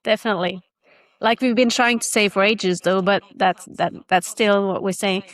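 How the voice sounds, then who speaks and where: A woman's voice: medium pitch, steady tone, normal speed, no room sound. A woman hosting a podcast, asking questions in a casual, conversational way. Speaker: podcast host. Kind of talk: casual interviewing.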